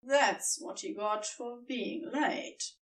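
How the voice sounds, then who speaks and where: A woman reading fiction as an audiobook narrator, with deliberate storytelling delivery and dramatic pauses. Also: fairly high pitch, far from the mic. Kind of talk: expressive scripted fiction narration.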